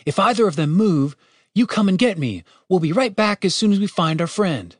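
An earnest young adventurer played by a male narrator in a fantasy audiobook. His voice is lifted high, questioning and polite with an exasperated edge, in a dry, close recording. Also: animated, normal speed, dry recording. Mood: polite, firm.

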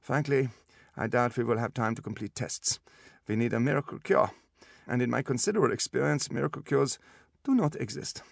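A pompous German specialist, pitched up and clipped, dismissing relatives in a storybook sickroom corridor. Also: male voice, a little fast, vocal fry, no echo, clean studio sound. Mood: cold, grave. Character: sharp, impatient, haughty German male doctor.

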